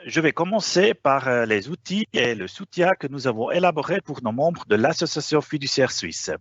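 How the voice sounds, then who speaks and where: A man's voice: medium pitch, animated, normal speed, no room sound, highs cut off. Hesitant non-native man presenting a webinar. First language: not French. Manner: hesitant, frequent "euh" fillers.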